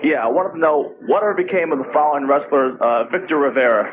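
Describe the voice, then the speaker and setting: A man's voice: on the high side, lively intonation, fast talker. A man in the audience of a live radio broadcast, asking questions as a polite, eager fan. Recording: phone-like old radio tape, crowd behind.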